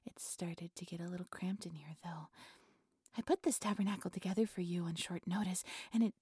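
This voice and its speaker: A woman voicing a devoted, obsessive high priestess in an audio roleplay, soft and breathy, speaking with formal, fawning reverence to her dark god. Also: brisk, dry recording. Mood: devoted, pleased. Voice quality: soft, breathy, often whispery.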